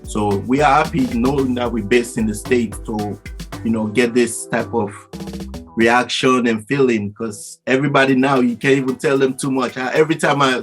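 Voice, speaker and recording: A man from Sierra Leone, a musician, talking in a laid-back, playful way as a guest in a casual interview. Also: medium pitch.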